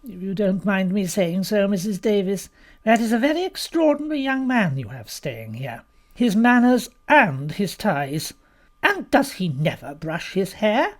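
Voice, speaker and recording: A woman voicing a haughty older female hotel guest who complains indignantly with heavy, drawn-out emphasis. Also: medium pitch, sing-song, pitched above the performer's own voice. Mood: haughty disapproval.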